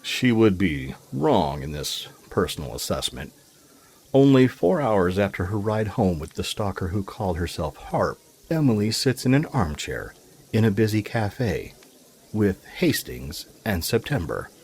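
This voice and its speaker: A man's voice: fairly low pitch, big pitch swings, a little slow, a little mellow, a little hiss or hum. A man reading scripted fiction narration as a storyteller, in formal, literary phrasing.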